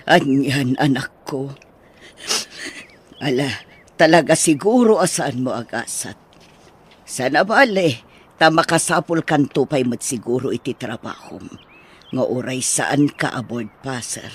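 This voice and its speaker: A man voicing a fretful, protective village mother in a radio drama, with a husky voice. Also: medium pitch, animated, measured pace, long pauses. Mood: concerned, consoling.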